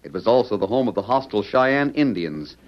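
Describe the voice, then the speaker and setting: A man's voice: on the low side, big pitch swings, brisk. A man with an American accent narrating dramatically in 1940s radio announcer style. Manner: dramatic, declamatory. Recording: muffled old radio, music behind.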